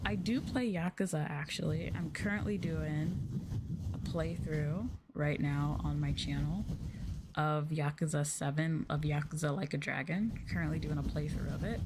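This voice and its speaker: Soft-spoken woman, lowish voice, ASMR chat. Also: heavy background noise. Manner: soft, gentle talking. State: sleepy, winding down. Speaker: virtual streamer hosting a live stream.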